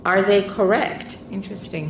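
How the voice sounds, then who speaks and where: Woman, moderator, reads audience questions aloud, echoey room, clear background noise. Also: medium pitch, steady tone, normal speed. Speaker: event moderator. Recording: roomy, noisy hall sound.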